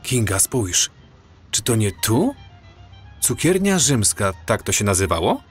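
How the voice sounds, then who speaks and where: A man's voice: fairly low pitch, very animated, measured pace, breathy edge, soft background music, heavily acted. An actor playing a knowing, companionable young man in an audio drama, with a matter-of-fact, explaining way of talking. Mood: curious. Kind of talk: Polish audio drama dialogue.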